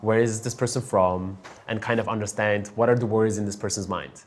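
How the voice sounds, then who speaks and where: A woman's voice: very deep voice, fairly even intonation, fairly quick. A young woman, raised in Turkey, patiently explaining and demonstrating a coffee fortune-telling ritual in conversation. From Azerbaijan, raised in Turkey. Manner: instructive, patient, laughs lightly.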